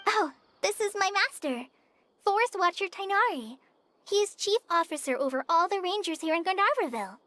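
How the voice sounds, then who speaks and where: A woman's voice: very high voice, animated, fairly quick, bright, forceful tone, dry recording, exaggerated voice. A woman playing an earnest, shy young female trainee ranger in a video game, polite and a little hesitant. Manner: polite, modest, slightly hesitant.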